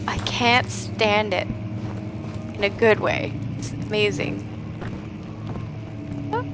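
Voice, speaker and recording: A young woman with a raspy voice from a cold, reading game notes aloud and reacting nervously as she plays a horror game on a headset mic. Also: mid-range voice, steady tone, takes it slowly, background noise. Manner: reads notes aloud, then jumpy reactive chatter. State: getting over a cold. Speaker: gaming streamer. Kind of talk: horror game let's play commentary. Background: game music.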